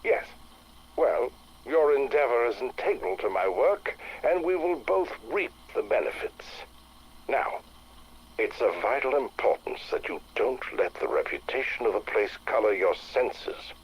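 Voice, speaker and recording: An actor playing an imperious, scheming wealthy patron with a husky voice, formal and commanding, in a game audio log. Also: male voice, mid-range voice, lively intonation, measured pace, poor audio. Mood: courteous, commanding.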